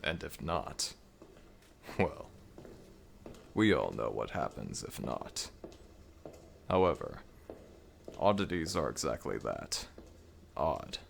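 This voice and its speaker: A man's voice: extremely low pitch, sing-song, takes it slowly, gravelly, long pauses, a little hiss or hum, exaggerated voice. A man voicing an ancient, weary and grumbling jackal god of the dead in a scripted audio roleplay, with a dry, imperious manner. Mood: weary, musing.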